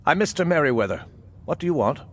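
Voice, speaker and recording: An actor playing a cold, curt plant superintendent in a pulp thriller audio drama, clipped and dismissive. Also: male voice, a little high, big pitch swings, quick pace.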